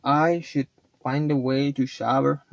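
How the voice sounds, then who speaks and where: A man's voice: mid-range voice, fairly even intonation, takes it slowly, gravelly, dry recording. A man whose first language is not English, rambling and swearing casually as he talks to his chat in a vlog. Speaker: vlogger. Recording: dull lo-fi sound.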